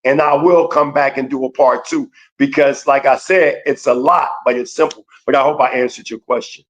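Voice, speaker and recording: A man giving a slow, emphatic lecture in a preaching cadence, with speech, repeating key phrases for weight. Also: mid-range voice, fairly even intonation. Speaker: guest teacher. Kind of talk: preaching-style lecture on a livestream.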